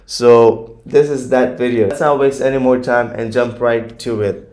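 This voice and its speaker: A man's voice: medium pitch, fairly even intonation, a little slow, darkish tone. A man whose first language is Gujarati, hosting a casual reaction video and chatting through his commentary with plenty of fillers. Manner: chatty, nitpicking, many fillers. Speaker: YouTube reaction host.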